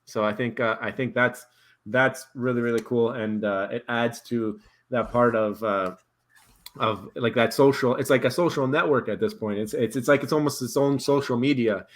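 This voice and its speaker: A man hosting a casual video call chat, relaxed and rambling, laughing easily. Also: medium pitch, moderate pace. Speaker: host.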